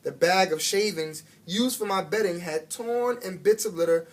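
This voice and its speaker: A man reading a children's book aloud as an expressive storyteller, with dramatic emphasis and drawn-out words. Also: high voice. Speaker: guest reader of a children's book.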